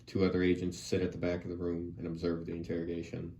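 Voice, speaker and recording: A man's voice: low-pitched, monotone, fast, dark tone. A man reading stage directions as scripted narration at a screenplay table read. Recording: distant mic, background noise.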